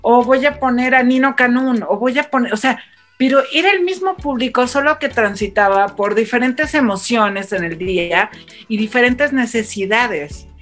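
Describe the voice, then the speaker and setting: A woman's voice: fairly high pitch, fairly bright tone. A woman with a Mexican accent, talking informally as a radio panel guest and explaining her opinions in a chatty way. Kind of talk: conversational panel talk.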